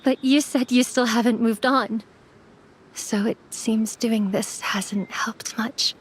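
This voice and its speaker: A woman's voice: a little high, fairly even intonation, slow pace, slightly rough. A woman playing a young immortal apprentice mortician in an anime game, earnest and subdued. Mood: grieving.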